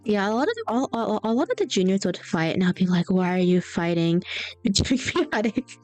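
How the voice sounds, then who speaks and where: A woman's voice: medium pitch, very animated, fairly quick, darkish tone. A young woman streamer chatting casually and rambling through stories while she draws. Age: young adult. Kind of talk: casual chat while drawing. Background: faint background music.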